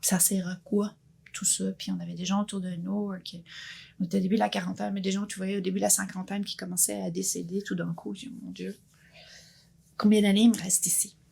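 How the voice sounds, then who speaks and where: Gravelly, husky woman in her fifties. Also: mid-range voice, little pitch movement, a little fast, a little mellow. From Belgium. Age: 50s. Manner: earnest, thoughtful, frequent restarts. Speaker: guest entrepreneur. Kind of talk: reflective personal interview.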